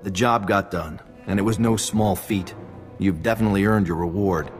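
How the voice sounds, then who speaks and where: Casting: man for smooth-talking game spy, deep, relaxed and playful, scored with music. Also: moderate pace, close to an everyday voice. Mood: friendly, teasing. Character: friendly, smooth-talking male intelligence agent. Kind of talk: scripted video game acting.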